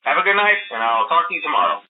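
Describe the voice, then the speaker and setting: Game phone guide, male: narrow tinny telephone sound, higher-pitched voice, breezy and hesitant, rambling reassurances from a nervous employee. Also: big pitch swings, very fast. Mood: breezy, reassuring. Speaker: phone guide to a new night guard. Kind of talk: casual recorded phone briefing.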